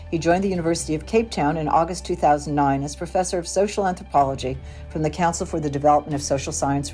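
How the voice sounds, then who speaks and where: A woman announcer reading a scripted podcast introduction slowly and formally. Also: deep voice, dark tone. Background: music.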